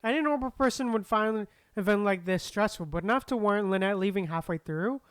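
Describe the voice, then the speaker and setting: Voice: medium pitch, fairly quick, smooth, no echo, studio quality. A streamer giving casual, laid-back let's-play commentary and reading game text aloud. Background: game music.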